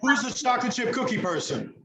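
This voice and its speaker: A man's voice: extremely high pitch, animated, quick pace, a crowd in the background. An older man chatting casually with children as a chef, encouraging and affectionate, often repeating answers back. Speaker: chef and guest presenter. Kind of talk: casual interactive chat with children. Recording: echoey room with clear background noise.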